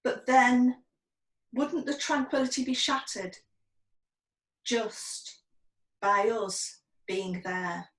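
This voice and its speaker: A woman reading her own poem aloud in a measured recital style, recorded in a room at a distance from the microphone. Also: fairly high pitch, fairly bright tone, reverberant.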